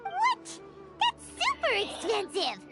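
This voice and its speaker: A woman voicing a tiny, excitable, childlike fairy companion in a video game, squeaky and exclamatory. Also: slow pace, heavily acted. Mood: startled, wary. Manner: speaks of herself in third person, exclamatory. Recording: game audio with music behind.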